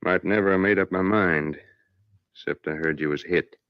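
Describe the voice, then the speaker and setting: Man acting a deep-voiced, stern western lawman. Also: moderate pace, clean tone, dry recording, lightly acted. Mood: grim, resolute. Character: stern, resolute Old West lawman named George, weary and conscience-stricken. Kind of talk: old-time radio western acting. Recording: muffled, dull old radio recording.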